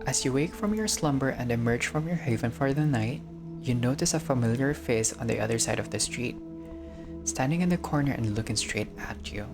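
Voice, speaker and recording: Gamer narrating a visual novel, lots of vocal fry, jokey sweary asides, music behind. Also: mid-range voice, lively intonation, brisk. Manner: breaks off into joking, profane asides. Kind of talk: casual let's-play narration, reading aloud with asides.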